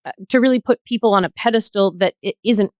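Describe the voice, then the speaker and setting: Female podcast guest, mid-range voice, dry recording, earnest and articulate, deliberate phrasing. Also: medium pitch, darkish tone, no echo. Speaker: podcast guest, healthcare worker. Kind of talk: earnest discussion.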